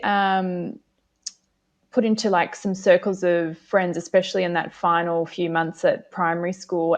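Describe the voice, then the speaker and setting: Woman, Australian accent, from South Africa, lowish voice, reflective, lots of ums. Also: a little mellow, highs cut off. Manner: reflective, many fillers and hedges. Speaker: guest. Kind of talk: casual interview answers.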